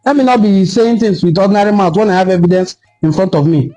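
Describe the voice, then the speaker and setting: A Nigerian man giving an impassioned solo commentary, lecturing forcefully and repeating points for emphasis. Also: high voice, fast talker, muffled recording. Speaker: online cultural commentator.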